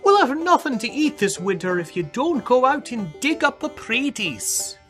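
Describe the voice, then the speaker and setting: Hard-working Irish wife, scolding, put-on Irish accent, high falsetto tone, bright, a man doing it. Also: sing-song, normal speed, faint music behind, heavily acted.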